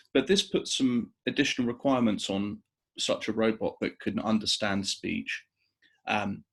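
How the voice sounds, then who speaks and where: A man giving an explanatory research presentation as an academic, in a measured, somewhat hesitant manner with pauses. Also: on the low side, a bit bright, slightly rough.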